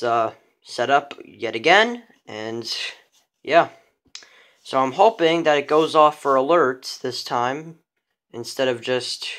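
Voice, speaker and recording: A man's voice: medium pitch, fairly bright tone. Casual, rambling man showing his collection. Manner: rambling, repeats himself. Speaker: hobbyist collection presenter. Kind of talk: casual unscripted show-and-tell.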